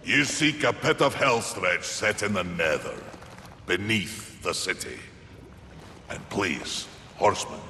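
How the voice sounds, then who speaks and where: In a reverberant hall, a giant Scottish smith for a video game. His gruff voice is very low and forceful, and he speaks with slow, earnest emphasis and wry courtesy. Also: animated, full character voice. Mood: wry, solemn. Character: giant male maker, gruff, loyal, earnest weapon smith. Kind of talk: video game cutscene acting. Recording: reverberant game mix, faint ambient noise.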